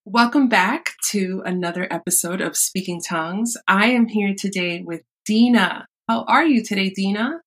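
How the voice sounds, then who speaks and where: A woman's voice: mid-range voice, moderate pace, few pauses. A woman hosting a podcast, chatting casually and laughing along with her guest. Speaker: podcast host. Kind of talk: casual interview chat.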